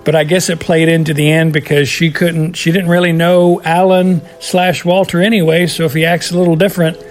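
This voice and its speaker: A man's voice: fairly high pitch, little pitch movement, a little fast, faint music behind. A man giving his casual recorded thoughts on an episode for a podcast, rambling with many fillers and restarts. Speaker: podcast contributor.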